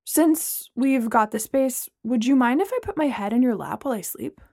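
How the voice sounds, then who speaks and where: A woman's voice: a little high, expressive, moderate pace, mellow, no echo, clean studio sound. A woman voicing a sleepy, easygoing young man who talks in a drowsy, cheerful way.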